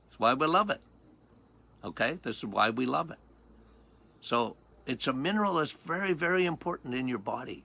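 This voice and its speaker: An older man with a loud voice, a doctor hosting a health podcast outdoors, talking casually and emphatically, repeating key points and quizzing his listeners. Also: on the high side, gravelly, a little hiss or hum. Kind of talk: casual health talk monologue.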